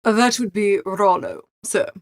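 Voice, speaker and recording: A woman plays a coolly deferential male valet from a storybook. The delivery is formal, measured and reserved, never ruffled. The sound is close and dry, without any room around it. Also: mid-range voice, big pitch swings, no room sound. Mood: calm, deferential. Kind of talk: storybook character reading.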